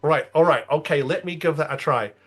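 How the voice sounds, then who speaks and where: A man's voice: fairly high pitch, fairly quick. A man roleplaying a ship captain in a tabletop game, talking in a rambling, hesitant way. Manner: rambling, hesitant, full of "I mean".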